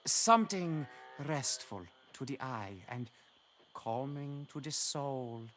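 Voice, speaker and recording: An actor playing an affable, envious landscape painter in a video game, musing theatrically. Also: male voice, mid-range voice, lively intonation, takes it slowly, fairly bright tone, a little hiss or hum. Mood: dreamy, confident. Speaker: quest-giving game character. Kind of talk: video game character dialogue.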